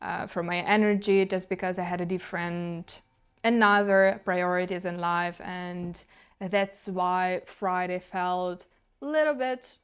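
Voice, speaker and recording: A young woman with a Ukrainian accent talks through her week in a casual, reflective vlog. She searches for words and restarts her phrases in a soft, dreamy way. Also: fairly low pitch, steady tone, unhurried, smooth. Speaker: vlogger.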